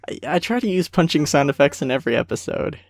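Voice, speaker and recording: A man's voice: fairly high pitch, sing-song, quick pace, gravelly. A young man hosting a casual audio commentary, talking in an informal, amused, chatty way. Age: young adult. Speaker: commentary host, series creator. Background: faint music from the episode.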